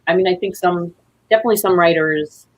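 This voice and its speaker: A woman's voice: on the low side, fast. A woman playwright talking casually as an interview guest, earnest and rambling in manner.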